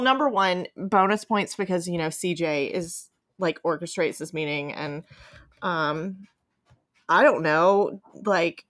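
A woman hosting a casual podcast discussion, speaking in an enthusiastic, emphatic and playfully teasing way. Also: lowish voice. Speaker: podcast host.

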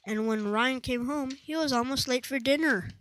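A woman's voice: sits high, normal speed, a bit bright. A female narrator reading a scripted story for school readers' theatre.

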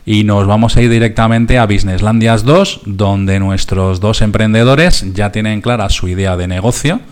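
A male radio host with a fairly low voice and a Spain Spanish accent. He talks informally, explaining things step by step in a prudent, conversational manner. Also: moderate pace, clear, resonant tone. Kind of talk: conversational radio hosting and discussion.

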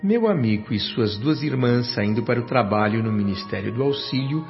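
A man's voice: medium pitch, animated, moderate pace, natural voice. An actor playing a doctor who narrates his memories in a scripted Brazilian radio drama, in a reflective manner. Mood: serene. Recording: dull lo-fi, music underneath.

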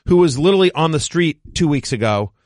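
A man in his forties hosting a casual sports podcast, joking and animated. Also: fairly high pitch, quick pace, dry recording, clean studio sound. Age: 40s. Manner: animated, self-deprecating joker. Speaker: podcast host.

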